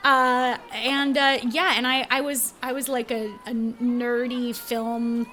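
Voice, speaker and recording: A young woman co-hosting a casual comedic podcast, eager and quick to agree. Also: fairly high pitch, flat delivery, sharp tone, a little hiss or hum. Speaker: podcast co-host.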